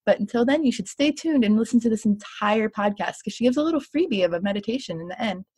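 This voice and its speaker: A woman introducing a podcast episode in a calm, encouraging way. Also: fairly high pitch, fast. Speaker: podcast host. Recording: roomy and distant over music.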